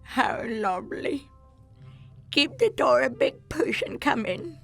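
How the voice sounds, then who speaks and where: A woman voicing a frail, kindly old grandmother with a creaky voice in a children's fairy tale. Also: high voice, big pitch swings, slow, soft background music, pitched above the performer's own voice, full character voice. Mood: weak, welcoming.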